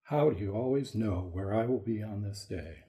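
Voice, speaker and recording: A man's voice: lowish voice, moderate pace, dark tone, natural voice. An actor playing a stern, fatherly sun god and king in a radio-play style story. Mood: grave, stern.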